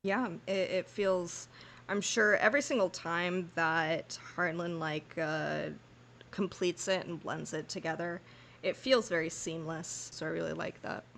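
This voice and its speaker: A woman's voice: on the low side, steady tone, unhurried, vocal fry, fairly bright tone, slight background noise. A woman co-hosting a fan podcast, joking in a laid-back way and laughing often. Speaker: podcast co-host. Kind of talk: casual fan podcast chat.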